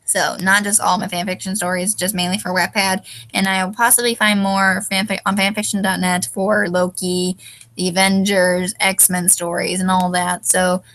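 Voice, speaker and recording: A woman's voice: fairly low pitch, flat delivery, moderate pace, a bit bright. A young woman with a stuffy, tired voice, rambling casually as a fanfiction reviewer and often trailing off with ums. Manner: hesitant, many ums, loses her train of thought.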